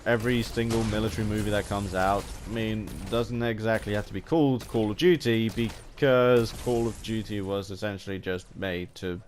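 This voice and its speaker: Low-voiced British man rambling. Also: steady tone, a little hiss or hum. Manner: halting, repeats and rephrases phrases. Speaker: video game vlogger. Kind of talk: rambling commentary.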